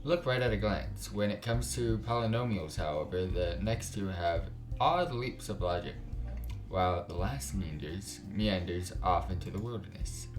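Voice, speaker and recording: A man's voice: low voice, moderate pace, soft background music. A man hosting a gaming video, reading a visual novel aloud and adding casual, slangy commentary. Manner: informal, reacts with slangy asides. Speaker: gaming channel host.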